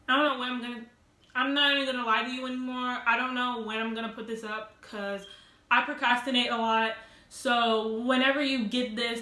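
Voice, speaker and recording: A teenage girl vlogging at home, telling a rambling storytime with loud laughs and many restarts. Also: a little high, echoey room. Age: teens. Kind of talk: casual storytime vlog. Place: at home, sitting on the floor.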